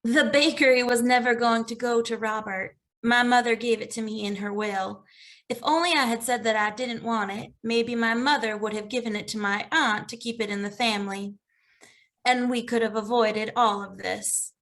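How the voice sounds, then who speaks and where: A woman playing an earnest, anxious orphaned young woman in an animated comedy, with emotional delivery. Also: fairly high pitch, moderate pace. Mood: guilty, earnest. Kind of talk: animated comedy table read.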